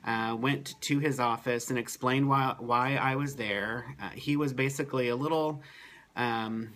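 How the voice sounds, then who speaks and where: A man's voice: medium pitch, steady tone, measured pace, a bit bright. A man talking casually to the camera about his health, matter-of-fact, with many ums and uhs. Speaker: vlogger giving a health update. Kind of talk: casual first-person account.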